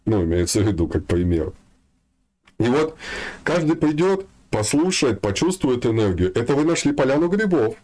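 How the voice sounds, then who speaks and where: A man speaks in a mid-pitched voice with very lively, swinging intonation. He gives a spiritual talk in an informal, colloquial way, dropping in slang and turning straight to his listeners. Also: moderate pace.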